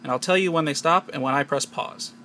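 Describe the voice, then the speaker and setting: Bright-voiced American man giving a tutorial. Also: on the high side, a little fast. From United States. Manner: explanatory, step-by-step instruction. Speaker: tech tutorial presenter. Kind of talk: unscripted hands-on tutorial narration. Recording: noisy amateur handheld camera audio.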